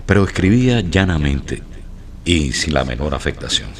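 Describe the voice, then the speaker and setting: A man reading scripted descriptive narration for a Spanish-language radio program in a formal, measured way. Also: a bass voice, very animated.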